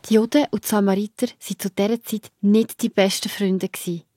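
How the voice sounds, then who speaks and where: Slightly husky, mellow Swiss German woman narrator. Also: mid-range voice, fairly even intonation, brisk, dry recording. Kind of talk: scripted children's story narration, addressing the listener.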